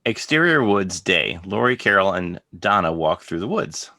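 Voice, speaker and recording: A man's voice: low-pitched, very animated, normal speed, bright, forceful tone, no room sound, studio quality. A man narrating scripted stage directions for a drama reading.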